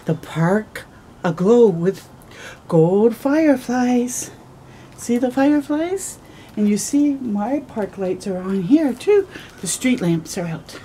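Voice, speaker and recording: A woman's voice: fairly high pitch, a little hiss or hum. A middle-aged woman hosting a children's storytime, reading a picture book aloud expressively with little asides, recorded outdoors on a camera close by. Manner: expressive, slow storytelling with gasps and drawn-out words. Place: outdoors, porch or yard in early morning.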